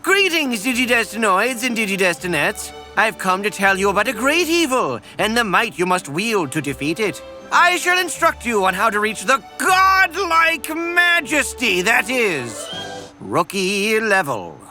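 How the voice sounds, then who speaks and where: Wildly swooping crazed old sage. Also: male voice, high-pitched, sing-song, unhurried, bright, forceful tone, faint music behind, full character voice. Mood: pompous, rambling. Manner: rambling, whimsical, pompous, flippant. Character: crazed, evasive old male sage guiding child adventurers. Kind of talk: comedic animated parody voice acting.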